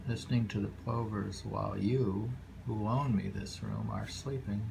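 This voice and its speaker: A man reading haiku aloud in a deliberate, musing way, pausing between poems and adding casual asides. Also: on the low side, fairly even intonation, creaky. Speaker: poetry reader. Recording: very audible background noise, homemade recording.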